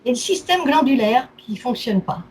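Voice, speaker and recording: A woman's voice: sits high, lively intonation, normal speed, darkish tone. A woman speaking as a client in a casual consultation, listing things item by item in short phrases. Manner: itemizing, in short clipped phrases. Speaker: therapy client. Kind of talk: casual recounting of health complaints. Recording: faint background noise.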